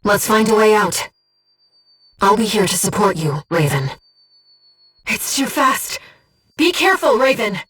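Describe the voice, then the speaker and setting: A woman playing a gentle, disembodied female mission operator in a video game, earnest and composed, with clearly enunciated tactical callouts. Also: medium pitch, expressive, measured pace, pauses often, recorded from a distance, soft background music. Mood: soft, earnest, then urgent, alarmed. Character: gentle disembodied female voice guiding a mercenary pilot.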